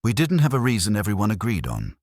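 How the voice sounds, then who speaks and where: A man voicing a brisk, businesslike woman with a matter-of-fact, unceremonious manner in a mystery audiobook. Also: lowish voice, wildly swooping pitch, a little mellow, no echo, clean studio sound, voice pushed up. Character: brisk, businesslike woman reporting practical arrangements.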